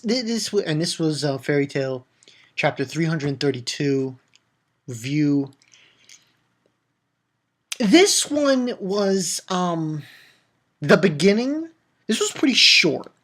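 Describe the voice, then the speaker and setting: A man's voice: a little high, a bit bright, slightly rough. A man giving an unscripted, casual review as host, blunt and emphatic, swearing freely and rambling through repeated false starts. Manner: ranting, emphatic, blunt and profane. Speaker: manga review video host. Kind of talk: casual off-the-cuff review and recap.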